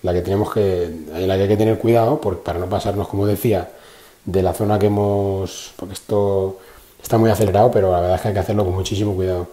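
A man's voice: sits low, steady tone, fast talker, warm tone, roomy sound. A man gives a casual, chatty how-to explanation and repeats himself often. He records on a phone held in one hand in an indoor garage. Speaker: car channel host.

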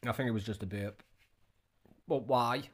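A man's voice: medium pitch, normal speed. A man in his thirties giving casual, scornful reaction commentary, often repeating his questions. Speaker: reaction video host.

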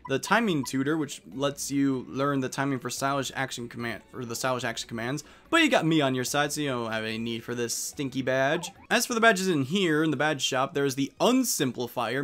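A man's voice: mid-range voice, a bit bright. A man giving casual, jokey, rambling commentary as host of a game playthrough. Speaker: let's play host.

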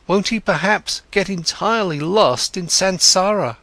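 A man's voice: sits high, a little slow, pushed and bright, no room sound, pitched above the performer's own voice, exaggerated voice. A man voicing a troubled middle-aged father asking anxious, rising questions. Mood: worried.

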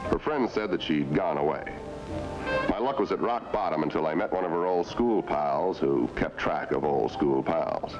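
An actor playing a terse American police detective, narrating a 1950s TV crime drama in a hard-boiled, matter-of-fact way. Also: male voice, a bass voice, moderate pace. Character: terse, world-weary police detective telling his case. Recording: muffled old TV film soundtrack. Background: loud dramatic music.

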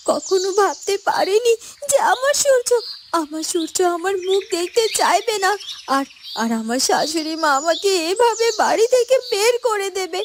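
A woman's voice: very high voice, slight background noise. A woman voicing a heartbroken young wife lamenting being thrown out of her home, in a Bengali cartoon. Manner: tearful, lamenting. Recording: music under the voice.

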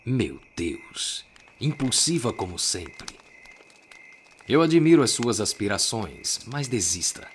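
A man with a Brazilian accent voicing a sly, condescending agent in a measured, mocking way for an anime fan dub. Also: fairly low pitch, very animated, slight background noise, voice pushed down. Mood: mocking, composed.

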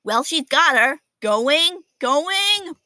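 An astonished, excitable schoolchild from a picture book, acted out by a woman reader. The voice sits high and bright, full of exclamations and disbelieving questions. The sound is close and dry. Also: extremely slow, no echo, heavily acted. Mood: alarmed. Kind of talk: children's picture book read aloud.

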